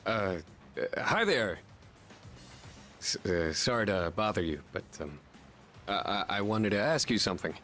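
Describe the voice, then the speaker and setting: An actor voicing a proud but socially awkward male high school senior in a video game. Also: very animated, unhurried, long pauses, a bit bright, faint music behind. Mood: nervous, halting. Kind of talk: English video game voice acting.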